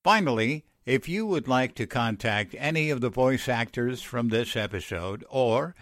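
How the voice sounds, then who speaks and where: A male announcer in the old-time radio manner, reading formally. His mid-pitched voice is measured and slow with lively rises and falls, recorded dry. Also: no room sound. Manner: formal, deliberate.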